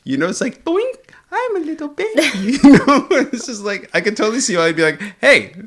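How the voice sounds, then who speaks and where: A man's voice: extremely high pitch, very animated, fairly quick, crowd noise. A man hosting an interview in a guest's home, talking casually with playful teasing and frequent laughter. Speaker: podcast host.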